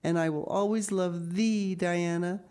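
A woman's voice: medium pitch, unhurried, mellow, voice pushed up, lightly acted. A woman voicing a dramatic, romantic young orphan girl in a storybook, earnest and tearful, with flowery old-fashioned phrasing. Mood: solemn, romantic. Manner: flowery, archaic, theatrical phrasing. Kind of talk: audiobook character reading.

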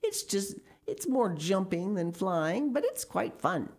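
A woman's voice: mid-range voice, wildly swooping pitch, slow, darkish tone, voice pushed up, full character voice. An old woman witch from a storybook, acted out by a woman reader, proud of her garden and full of joyous wonder. Her voice swoops widely and exclaims with delight. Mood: pleased.

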